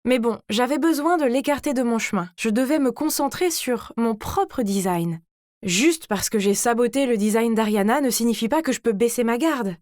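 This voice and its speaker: A woman voicing a vain, scheming teenage girl who tells her own animated story in a smug, theatrical way. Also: fairly high pitch, moderate pace, dry recording, studio quality, close to an everyday voice. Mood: scheming, indignant. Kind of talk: dramatized first-person story narration.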